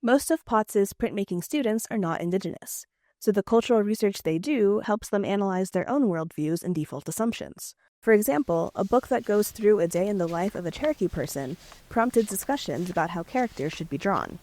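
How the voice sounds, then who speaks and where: A woman radio reporter narrating a scripted news feature in a measured, clear delivery. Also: medium pitch, animated, mellow, dry recording.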